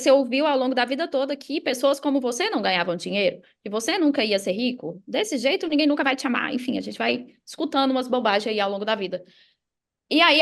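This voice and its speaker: A Brazilian woman speaking as a podcast guest and coach, explaining things in a casual conversational way. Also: on the high side. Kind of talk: casual explanatory interview talk.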